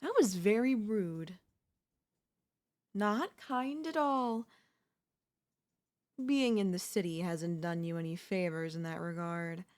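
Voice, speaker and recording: Slow, smug, teasing young woman acted by a woman. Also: lowish voice, a little mellow. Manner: smug, coy, drawn-out teasing. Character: teasing, possessive young woman, former childhood bully next door. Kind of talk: ASMR roleplay acting.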